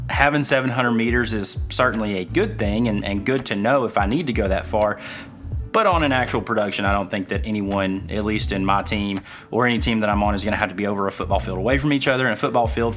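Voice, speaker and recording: A man's voice: lowish voice, a bit bright. A man giving a casual, conversational gear review to viewers, with touches of dry humour.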